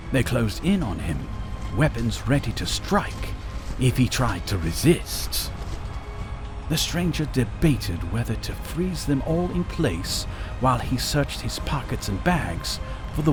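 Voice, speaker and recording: A man's voice: mid-range voice, big pitch swings, takes it slowly. A man narrating a scripted fantasy story as a dramatic narrator. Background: music.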